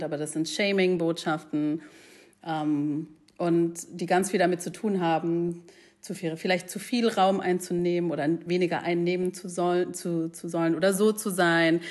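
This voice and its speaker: A woman in her forties talking reflectively, often pausing with fillers as she searches for words and mixing in English phrases. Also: on the low side, darkish tone. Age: 40s. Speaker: guest. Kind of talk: casual conversation.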